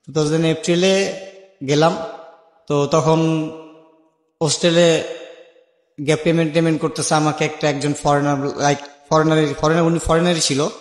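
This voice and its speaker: A man with a Bangladeshi accent telling a personal life story conversationally, acting out remembered dialogue as he goes. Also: on the high side. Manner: acts out remembered dialogue while narrating. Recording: echoey with long reverb.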